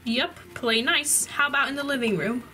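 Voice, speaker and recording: A woman playing a calm mother in a children's play story, gently but firmly instructing small children. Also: high-pitched, normal speed, faint music behind, low-quality recording. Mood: gentle. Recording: faint background noise.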